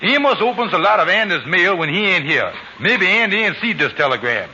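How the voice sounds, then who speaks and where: High, sharp-edged smooth-talking hustler; vintage radio sound. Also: male voice, very animated, heavily acted. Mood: scheming. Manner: fast-talking, persuasive, coaxing. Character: smooth-talking scheming male con man. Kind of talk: 1940s radio comedy acting. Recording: lo-fi old radio recording with faint hiss.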